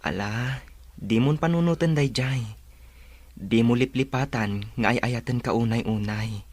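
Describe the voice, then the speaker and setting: A man's voice: mid-range voice, a little slow, no echo. A man playing a devoted young boyfriend in an Ilocano audio drama. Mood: earnest, consoling. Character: devoted young man reassuring his girlfriend about his mother. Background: plucked guitar music.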